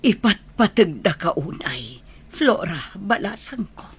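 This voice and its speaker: A woman with an Ilocano accent playing a tender, consoling older mother in a radio drama, with a husky voice. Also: mid-range voice, sing-song, measured pace, heavily acted.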